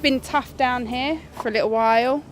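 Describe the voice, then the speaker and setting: A woman's voice: a little high, steady tone, normal speed, faint music behind. A woman market trader speaking plainly about her staff and the struggling trade.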